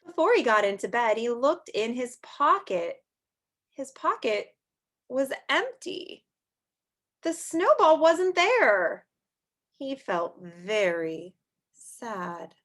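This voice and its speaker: Expressive high-voiced woman reading a storybook aloud. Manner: expressive, drawn-out storybook reading with chatty asides. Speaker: teacher reading aloud to young children. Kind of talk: read-aloud storytime with questions to children.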